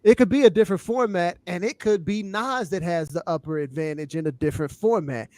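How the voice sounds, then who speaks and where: A man on a podcast panel talking casually about hip hop, explaining his points in a measured way and often checking that the listener follows. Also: high voice, a little mellow, no room sound.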